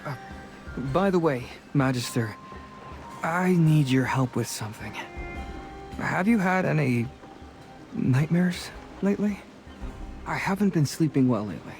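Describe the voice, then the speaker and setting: Breezy, higher-pitched roguish male adventurer. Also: expressive, measured pace, pauses often, darkish tone, faint music behind. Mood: earnest, casual. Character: roguish, charming, chatty adult male sea adventurer. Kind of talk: voiced dialogue in a fantasy game.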